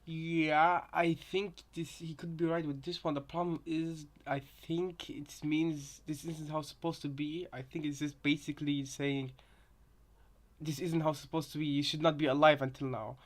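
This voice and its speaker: A man giving casual reaction commentary on game voice lines, rambling and halting, often repeating his phrases. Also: on the high side, little pitch movement. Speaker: reaction video host.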